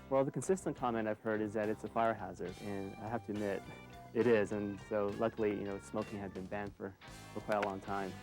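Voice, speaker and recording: A man with a gravelly voice, speaking in a low-key, hesitant way in a corporate promo interview. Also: fairly even intonation, brisk, darkish tone, soft background music. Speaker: research analyst.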